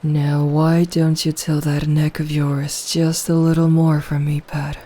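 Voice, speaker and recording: A woman playing a seductive, possessive female vampire in an intimate, hypnotic ASMR roleplay, hushed and deliberate. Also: deep voice, flat delivery. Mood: seductive, hungry.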